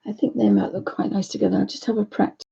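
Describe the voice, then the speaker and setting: A woman with a croaky voice hosting a live painting session, chatting to viewers and thinking aloud as she works. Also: medium pitch, little pitch movement, fast, dark tone, highs cut off, slight background noise. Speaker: art channel host. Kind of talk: live painting demo chat.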